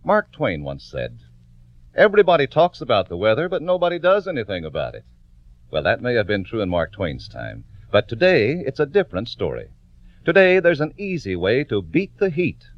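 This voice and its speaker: Male 1940s radio pitchman, very swooping delivery, polished salesmanship, dry muffled recording. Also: huge pitch swings, moderate pace, no echo. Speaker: radio commercial announcer.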